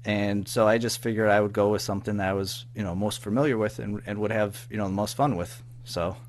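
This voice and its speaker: A man being interviewed in casual chat, speaking haltingly, repeating words and trailing off. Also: on the low side, fairly even intonation. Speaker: audio drama writer-producer, interview guest. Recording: faint background noise and hum.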